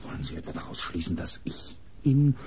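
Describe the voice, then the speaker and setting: A guarded murder suspect in a radio crime play. His voice is deep and very husky, with lively swings in pitch. He answers the interrogation tersely and evasively. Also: a little fast, full character voice. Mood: uneasy, defensive. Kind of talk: German radio crime drama acting.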